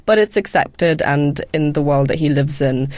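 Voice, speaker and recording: A woman's voice: low voice, moderate pace, darkish tone, no echo. A young woman co-hosting a casual podcast chat, laughing often and poking fun at herself. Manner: laughing, self-deprecating. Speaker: podcast co-host.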